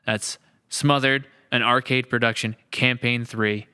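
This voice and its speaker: A man's voice: medium pitch, steady tone, a little slow, sharp tone, clean tone. A man hosting a podcast in casual chat, effusive and rambling as he talks. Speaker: podcast host.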